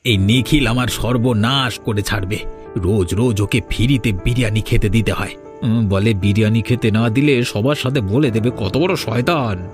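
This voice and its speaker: A grumpy cartoon street vendor, played by a man, with a slightly low voice. He scolds and grumbles indignantly, with faint music underneath. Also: normal speed, natural voice. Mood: aggrieved. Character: grumpy, defensive street biryani seller, grown man. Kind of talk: cartoon folk-tale dialogue.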